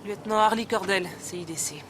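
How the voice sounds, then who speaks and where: A woman dubbing a tough female army crime investigator in a French film, speaking calmly, curtly and firmly. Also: medium pitch, fairly quick, close to an everyday voice. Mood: steady, dry. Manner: calm, curt and firm. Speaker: army lieutenant. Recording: film soundtrack with street noise.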